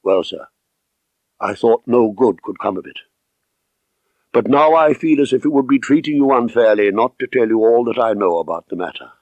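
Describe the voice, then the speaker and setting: A man voicing a loyal household butler in an audiobook, respectful, measured and a little hesitant, guarded but earnest. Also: mid-range voice, animated, a little mellow, no echo, muffled recording, close to an everyday voice. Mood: deferential, earnest. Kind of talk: audiobook character dialogue.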